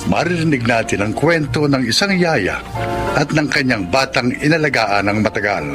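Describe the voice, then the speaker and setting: A man's voice: mid-range voice, animated, normal speed. A man with a Filipino accent reading a scripted introduction to a drama as its narrator. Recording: music behind the voice.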